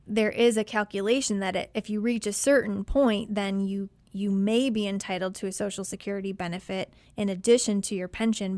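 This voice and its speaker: A woman's voice: mid-range voice, darkish tone, no echo. Host: woman, patient explainer, dry close studio sound. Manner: friendly, explanatory, answers questions patiently. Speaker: financial advisor host. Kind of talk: call-in advice show.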